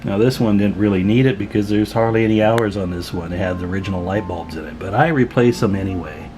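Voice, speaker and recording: A man's voice: lowish voice, fast, over music. A man talking in a folksy, chatty way as he gives a casual hands-on repair demonstration at an indoor workbench. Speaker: radio repair technician and video host.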